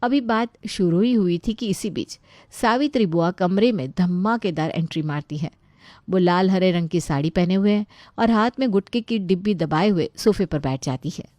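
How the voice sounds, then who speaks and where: Woman reading a story script as narrator, slightly low voice, close dry recording. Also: normal speed, darkish tone, no room sound.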